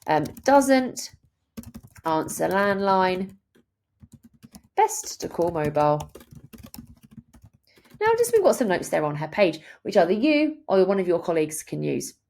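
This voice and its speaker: A woman in her thirties giving an explanatory, step-by-step software walkthrough as a tutorial presenter. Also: fairly low pitch, very animated, unhurried, vocal fry, pauses often. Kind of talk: instructional screen-share walkthrough.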